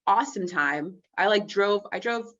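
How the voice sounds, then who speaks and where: A woman from Texas, a guest in a casual interview, talking reflectively in a relaxed way with many fillers. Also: mid-range voice, moderate pace. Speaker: actress guest. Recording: dull, muffled lo-fi audio.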